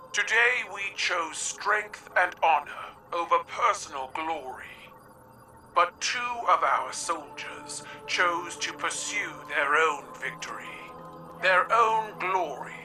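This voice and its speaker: A stern commanding empress. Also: male voice, fairly high pitch, full character voice. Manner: slow, commanding proclamations. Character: stern imperial empress addressing troops. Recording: distant voice over loud music.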